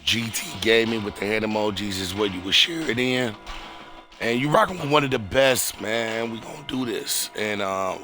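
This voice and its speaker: A man with a fairly deep, hoarse voice hosts a game stream. He talks in a laid-back, slangy, casual way over faint background music. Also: moderate pace, pushed and bright. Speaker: streamer, gaming channel host. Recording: music behind the voice.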